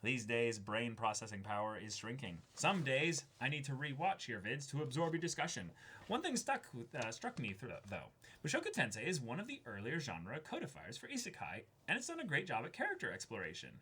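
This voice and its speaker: A young man co-hosting a show, chatting casually and reading aloud with halting, thoughtful phrasing. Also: mid-range voice, very animated, pushed and bright, a little hiss or hum. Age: young adult. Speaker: co-host of an unboxing show. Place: small indoor room.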